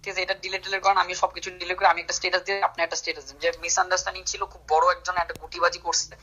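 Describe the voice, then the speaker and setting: A man's voice: high voice, little pitch movement, racing, sharp tone, faint music behind. A young man on a heated phone call, pleading and apologizing. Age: young adult. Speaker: vlogger.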